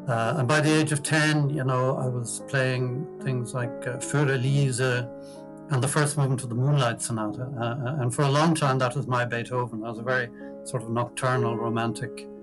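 A man's voice: medium pitch, poor audio. An older man from Ireland with an Irish accent, talking about himself with hesitant, stammering restarts. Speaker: composer and pianist. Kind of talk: autobiographical talk.

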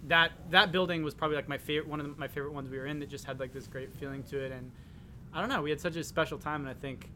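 A man with a somewhat high voice speaks as a casual co-host. He is emphatic and informal as he tells a story, with rumbly noise in the recording. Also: steady tone, very fast.

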